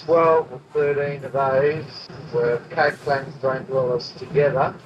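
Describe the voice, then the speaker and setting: A man's voice: mid-range voice, level tone, takes it slowly, dull audio, a crowd in the background. A man in casual chat, prodding his friend with follow-up questions, on an old archival recording. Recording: old archival tape with noise.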